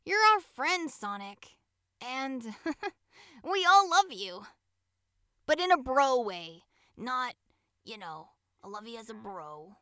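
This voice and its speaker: Woman as a high, bright young cartoon critter. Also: sing-song, slow, pauses often, dry recording, studio quality, full character voice. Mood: concerned, friendly. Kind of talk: fan audio drama acting.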